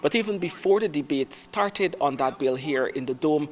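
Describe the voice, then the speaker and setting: A man giving a steady news report on a parliamentary debate. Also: on the high side, normal speed.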